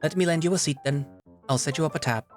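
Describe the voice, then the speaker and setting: A man voicing a brisk, unflappable female squirrel barkeep with a thick Scottish accent, plainspoken and calling people love and lads. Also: fairly high pitch, faint music behind, pitched above the performer's own voice, close to an everyday voice.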